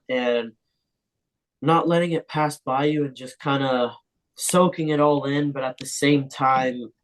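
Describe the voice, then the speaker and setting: A young man, a professional footballer, answering interview questions in a relaxed, casual way with frequent ums. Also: medium pitch, rough recording.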